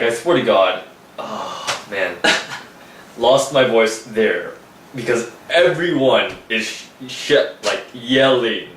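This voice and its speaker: A man's voice: lowish voice, a bit bright, distant mic, slight background noise. A young man chatting casually, laid-back, with dry teasing remarks. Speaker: vlog co-host. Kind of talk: casual chat. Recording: roomy, from off mic, faint background.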